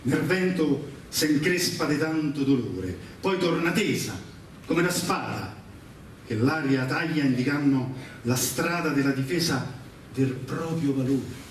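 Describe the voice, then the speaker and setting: A man reciting patriotic verse on stage in a solemn, declamatory manner, with pauses between phrases. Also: mid-range voice, animated, slight background noise. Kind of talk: theatrical patriotic poetry recitation. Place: large echoing performance venue, live show. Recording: very echoey hall, distant mic, music.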